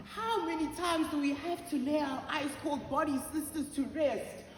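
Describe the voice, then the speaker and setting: Woman performing high, reproachful poet in echoey hall. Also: normal speed, close to an everyday voice. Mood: mournful, reproachful. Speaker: spoken word poet. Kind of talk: dramatic spoken word poetry. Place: large auditorium stage. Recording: echoey auditorium from far off, faint noise.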